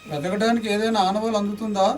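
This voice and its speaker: An actor playing a swaggering, smooth-talking companion of a villain in a radio play, mocking and flowery. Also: male voice, sits high, steady tone, fast, distant mic, slight background noise, lightly acted. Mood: curious. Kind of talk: radio play acting in Telugu.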